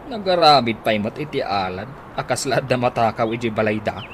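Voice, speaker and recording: Actor as a swooping, giggly scheming village husband. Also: male voice, mid-range voice, lively intonation, normal speed, lightly acted. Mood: suspicious, plotting. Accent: Ilocano. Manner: chuckling, exclamatory, swooping delivery. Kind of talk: Ilocano radio comedy drama acting. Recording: noisy radio drama with ambience.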